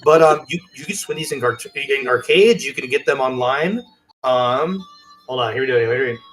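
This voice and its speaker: A man co-hosting a podcast in casual show-and-tell chat, halting and interrupting himself as he presents. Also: mid-range voice, a bit bright, faint music behind. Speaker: podcast co-host.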